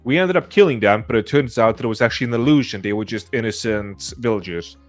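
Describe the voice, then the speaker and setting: A man's voice: medium pitch, quick pace, soft background music. A man in casual podcast conversation, talking like someone sharing a story with friends. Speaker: podcast participant. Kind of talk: casual anecdote and questioning.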